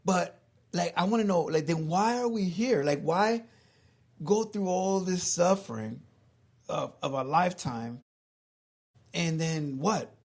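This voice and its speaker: A man's voice: sits high, a little slow, pauses often, a little mellow. A man co-hosting a casual podcast, musing and asking big questions with lots of "like" filler.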